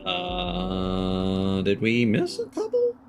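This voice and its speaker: A man giving casual live commentary as he plays a game, halting and thinking aloud as he goes. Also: on the low side, huge pitch swings, over music. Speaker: game streamer.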